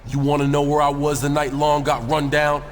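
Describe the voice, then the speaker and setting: A man's voice: fairly high pitch, completely flat delivery, moderate pace, a little hiss or hum, natural voice. A man playing a cocky, defiant wrestler in a video game, swaggering and full of street slang. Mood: defiant, threatening. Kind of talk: video game cutscene acting.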